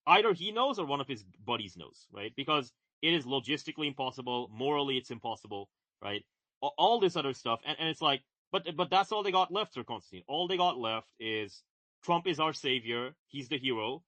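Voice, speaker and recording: Co-host, young man from Bangladesh, bright forceful tone, dry close sound, animated and repetitive. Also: mid-range voice, brisk, dry recording. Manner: emphatic, repeats words, many "right?" and "like". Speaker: podcast co-host. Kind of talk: casual political chat.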